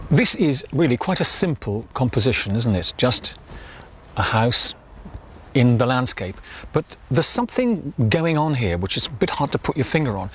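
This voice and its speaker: Lively man presenting on a windswept coast. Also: medium pitch, breathy edge. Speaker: art teacher and presenter. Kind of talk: presenting to camera outdoors.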